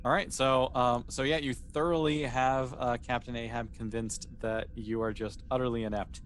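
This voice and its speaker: A man's voice: mid-range voice, fairly even intonation, moderate pace, a little hiss or hum. A man running a tabletop role-playing game, narrating off the cuff in a conversational, rambling way. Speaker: game master.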